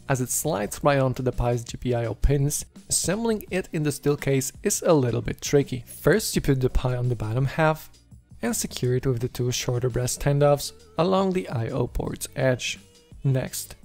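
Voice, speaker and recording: Gravelly man narrating a tech how-to. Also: mid-range voice, darkish tone. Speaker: tech video host. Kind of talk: scripted how-to voice-over narration. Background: background music.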